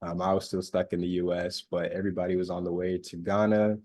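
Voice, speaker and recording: Low-voiced male student presenter on a video call, relaxed and filler-heavy. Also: fast.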